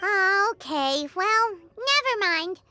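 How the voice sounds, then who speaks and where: Squeaky, very high-pitched fairy girl companion. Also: animated, takes it slowly, exaggerated voice. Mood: worried. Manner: childlike, refers to self by name. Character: small floating fairy-like girl companion, chatty, excitable, easily worried. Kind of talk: video game voice acting. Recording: music behind the voice.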